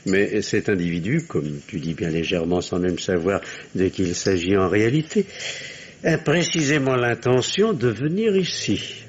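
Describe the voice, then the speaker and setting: An actor playing a middle-aged provincial doctor in an old French radio mystery, sardonic yet privately ashamed, with formal, theatrical diction. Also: male voice, lowish voice, animated, normal speed, natural voice. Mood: sly, needling. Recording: dull, noisy old radio recording.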